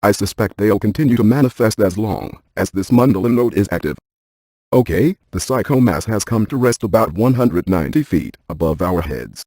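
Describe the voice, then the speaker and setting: An actor playing a brainy, dryly cautious ghost hunter scientist in a video game, speaking in a deadpan, precise, technical way. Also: male voice, fairly low pitch, moderate pace, dry recording. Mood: matter-of-fact, explanatory. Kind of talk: scripted video game character dialogue.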